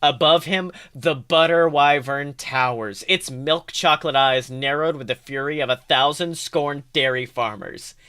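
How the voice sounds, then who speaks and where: Bright, higher-pitched man narrating fiction. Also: measured pace, few pauses, clear, resonant tone. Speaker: podcast co-host. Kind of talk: expressive fan fiction narration.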